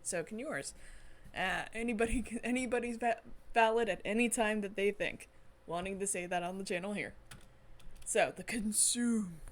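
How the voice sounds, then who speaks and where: A woman with a mid-pitched voice reads a visual novel aloud for her gaming channel. Her pace is slow and dramatic, broken by enthusiastic, giggly commentary. Faint noise sits behind the voice. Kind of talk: reading game text aloud dramatically with excited asides.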